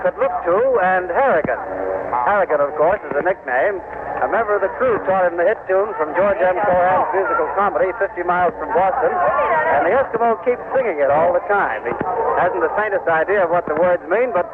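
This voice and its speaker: Male vintage radio war-style field reporter, high register, formal and emphatic, narrow tinny band. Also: lively intonation, fairly quick, heavy background noise. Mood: urgent, descriptive. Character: vivid, formal male radio correspondent on a ship's deck. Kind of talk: old-time radio docudrama reporting.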